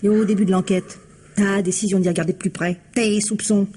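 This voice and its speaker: A woman's voice: mid-range voice, steady tone, a little fast, mellow, a little hiss or hum, close to an everyday voice. An actress playing a policeman's nagging, inquisitive wife in a radio mystery, with a homely, colloquial way of talking. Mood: needling. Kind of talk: old French radio mystery acting.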